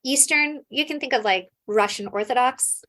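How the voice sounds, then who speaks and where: A woman's voice: high voice, moderate pace, pushed and bright. A woman from the United States, a scholar guest in an interview, explaining thoughtfully with frequent fillers and restarts. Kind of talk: casual interview answers.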